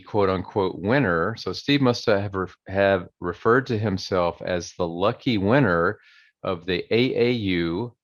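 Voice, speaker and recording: A man hosting a video channel, casually reading old newspaper articles aloud and commenting on them in a relaxed, conversational way with some fillers. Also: lowish voice, no room sound. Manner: reads quoted passages slowly and emphatically. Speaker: channel host. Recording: dry, dull lo-fi audio.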